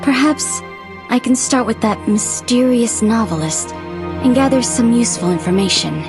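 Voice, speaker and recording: An actress playing a wary, inquisitive young journalist, pensive and questioning, in a video game cutscene. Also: female voice, on the high side, moderate pace, music in the background, lightly acted. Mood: curious, secretive.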